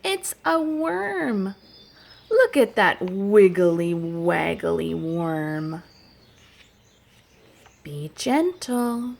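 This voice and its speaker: A woman childcare educator telling stories and playing with toddlers in a sing-song, animated, child-directed way, using simple phrases. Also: fairly low pitch, extremely slow, vocal fry, long pauses. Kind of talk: sing-song picture book storytelling and play for toddlers.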